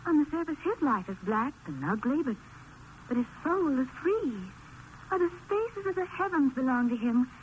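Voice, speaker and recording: An actress playing a devoted, dreamy young wife, speaking tenderly and lyrically in theatrical 1950s radio drama style. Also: female voice, high-pitched, big pitch swings, normal speed. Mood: lyrical, fervent. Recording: noisy old 1950s radio recording.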